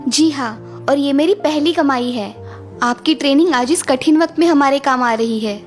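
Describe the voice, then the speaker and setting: A woman voicing a gentle, dutiful and determined young wife in a story cartoon, sweet and earnest with melodrama. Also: high-pitched, normal speed, faint music behind, close to an everyday voice. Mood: reassuring, happy. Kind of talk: Hindi moral-story cartoon dubbing.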